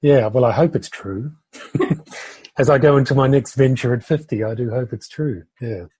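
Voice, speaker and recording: A man in his fifties from the United Kingdom speaks as a podcast guest, thoughtful and a bit hesitant. His voice is low, very husky and mellow. The sound is dry and close. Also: moderate pace, no room sound. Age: 50s. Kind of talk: conversational interview.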